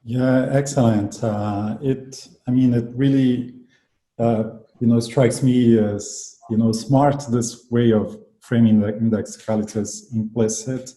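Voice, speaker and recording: Very mellow male interviewer in echoey room. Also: mid-range voice, steady tone, measured pace. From Brazil. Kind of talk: academic interview conversation. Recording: roomy, echoing sound.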